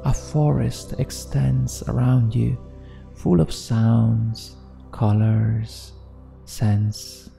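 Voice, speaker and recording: A man narrating a guided meditation in a soothing, measured manner with deliberate pauses. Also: mid-range voice, level tone, creaky, dark tone. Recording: long reverb, New-age music behind. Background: New-age music.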